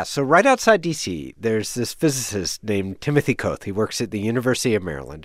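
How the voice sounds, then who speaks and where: A man's voice: lowish voice, no echo, studio quality. An American man working as a science correspondent, telling a story in a conversational reporting style.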